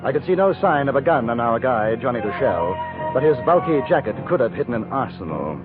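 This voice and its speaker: A muffled vintage radio recording with music behind it carries an actor as a composed British ex-cavalry officer. His delivery is measured and wry, with an expressive rise and fall as he tells of his travels. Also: medium pitch, natural voice. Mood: reflective, watchful. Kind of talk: 1950s radio drama narration and dialogue.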